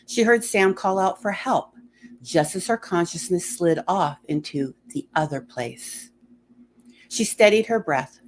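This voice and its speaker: A woman's voice: low voice, a little hiss or hum. A woman reading a horror story aloud in a dramatic narrating style.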